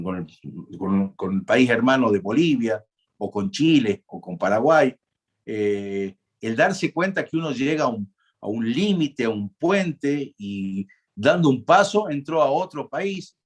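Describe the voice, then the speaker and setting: A man from Argentina, giving a university law lecture in a conversational way, often asking the class questions, using frequent fillers and restarts. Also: mid-range voice.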